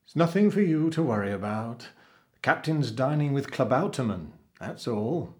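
A man voicing a kindly, fat ship's cook in a storybook, explaining things in a reassuring, unhurried manner. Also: medium pitch, lively intonation, a little mellow, voice pushed up, natural voice.

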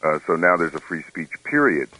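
Man, very deep voice, forceful tone, dry wit, radio co-host. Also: big pitch swings, fairly quick, poor audio. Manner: dry, wry humor. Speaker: radio co-host and money expert. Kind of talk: casual radio chat.

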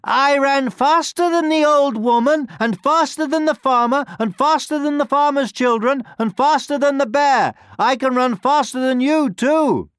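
A man's voice: very high-pitched, lively intonation, moderate pace, few pauses, a bit bright, dry recording, studio quality, pitched above the performer's own voice. A man voicing a cheeky, boastful little gingerbread man in a storybook, with a taunting sing-song delivery. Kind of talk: storybook character voice.

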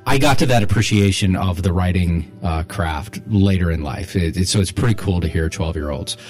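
Man, middle-aged, low-pitched voice, casual podcast host, rambling, repeated words, soft music behind. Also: normal speed.

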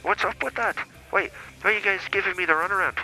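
A man's voice: high voice, fast, bright, forceful tone, soft background music, heavily acted, rough recording. A man doing a cranky foul-mouthed old priest. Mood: indignant. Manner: blustery, accusing, stammers and repeats himself. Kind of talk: comedy character call-in.

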